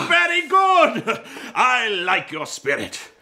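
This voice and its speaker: A zealous Templar commander in radio drama. He speaks slowly with big melodic swings, theatrical and imperious, used to giving orders and winning over the young. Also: high-pitched, heavy background noise, full character voice. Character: commanding, zealous Templar marshal, sly recruiter of young men. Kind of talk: historical radio drama acting.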